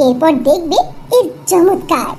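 Woman voicing a very high-pitched carefree fairy girl. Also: lively intonation, measured pace, a little mellow, heavily acted. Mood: confiding. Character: carefree, lazy but kind young female fairy. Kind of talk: Bengali fairy-tale cartoon acting. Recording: noticeable background noise.

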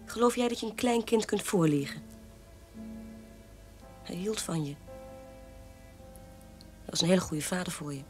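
An actress playing a mocking, sharp-tongued sister in a radio play, needling and scornful. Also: female voice, fairly low pitch, lively intonation, unhurried, pauses often, soft background music. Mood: reproachful.

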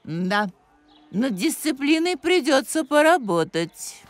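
A woman voicing a strict, overbearing middle-aged nanny in an animated series, imperious and syrupy in her condescension. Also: high-pitched, slow, heavily acted. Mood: stern. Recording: music behind the voice.

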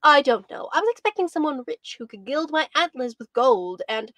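A woman's voice: high-pitched, animated, moderate pace, fairly bright tone, no room sound, voice pushed up. A woman reading aloud and voicing a haughty, vain young male reindeer who scoffs at hard work. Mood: snooty. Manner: scoffing, snooty.

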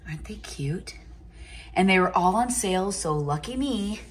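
A woman's voice: mid-range voice, expressive, moderate pace, breathy edge. A woman in her fifties chatting to her phone camera in a home kitchen, gushing over decorations in a casual vlog. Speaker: vlogger. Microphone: phone held at arm's length.

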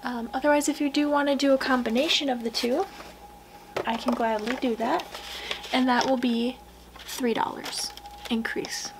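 A young woman doing a soft-spoken ASMR roleplay, hushed and gentle, often slipping into whispers, close to the mic in a small room. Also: high voice, fairly even intonation, normal speed, long pauses, background noise. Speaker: ASMR roleplayer playing a portrait painter. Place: small wood-panelled room indoors.

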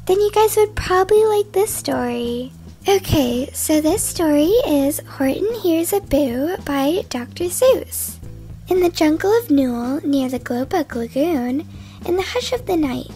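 A female performer voicing a cheerful, kind woman schoolteacher in a children's animated roleplay, speaking in an upbeat, instructive way. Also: high voice, measured pace. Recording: music behind the voice.

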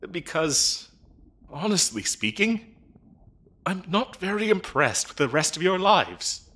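A fussy demon clerk from hell's interview desk , played by a man, in a comedic audio roleplay. The voice is bright and a little husky, with lively swings in pitch. The delivery is officious and chatty, full of polite hesitation. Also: takes it slowly, full character voice. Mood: urging, disappointed. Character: fussy, bureaucratic male demon interviewer in hell. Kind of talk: comedic audio roleplay acting, addressing the listener.

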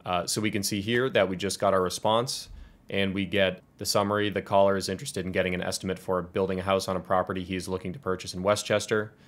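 A man in his twenties with a low voice and an American accent walks through a programming tutorial. He explains each step in order, in a clear instructional style. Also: level tone, fast talker. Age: 20s. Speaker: tutorial presenter. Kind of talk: scripted software tutorial walkthrough.

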